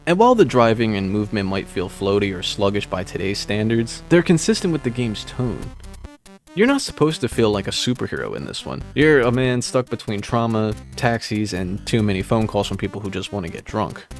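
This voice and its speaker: Low-voiced man narrating with lively pitch. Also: fairly quick. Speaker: video essay narrator. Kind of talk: scripted narration. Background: background music.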